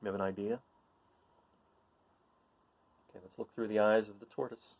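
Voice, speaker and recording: A man's voice: lowish voice, flat delivery, racing, vocal fry, darkish tone, highs cut off. A man hosting a children's read-aloud, reading a picture book and chatting in an encouraging way, often putting questions to his listeners.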